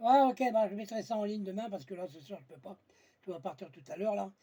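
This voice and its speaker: A man's voice: high voice, quick pace, dark tone, slight background noise. A man chatting casually on amateur radio, blunt and colloquial, often searching for his words. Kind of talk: casual radio contact chat.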